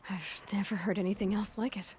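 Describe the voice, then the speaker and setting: A woman's voice: mid-range voice, fairly even intonation, fairly quick, darkish tone, slight background noise, natural voice. A woman playing a capable young video game heroine, practical, modest and matter-of-fact. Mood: gentle, understated. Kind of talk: video game cutscene acting.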